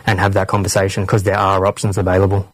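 A man's voice: sits low, completely flat delivery, quick pace, no echo, rough recording. A man from Western Sydney with an Australian accent, explaining things as an interview guest. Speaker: team coordinator, interview guest.

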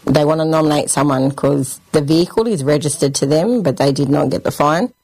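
A woman's voice: low voice, brisk, darkish tone, husky, dry recording, rough recording. A woman from Sydney with an Australian accent, answering as an outreach officer in colloquial, conversational language. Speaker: outreach officer, interview guest.

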